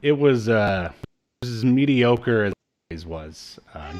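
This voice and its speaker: A man's voice: lowish voice, animated, normal speed, darkish tone. A man in his thirties hosting a podcast in a casual, joking, self-deprecating way. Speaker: podcast host. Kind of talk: casual chat.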